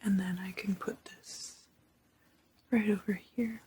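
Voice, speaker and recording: A woman hosting ASMR, whispering a guided meditation in a slow, hushed manner and softly repeating her phrases. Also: mid-range voice, steady tone, a little hiss or hum. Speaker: ASMR video host.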